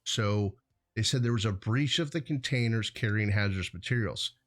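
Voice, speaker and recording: A man's voice: on the low side, normal speed. An American man hosting a show, talking in a casual, rambling, conversational way peppered with "you know". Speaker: host of a hazmat show.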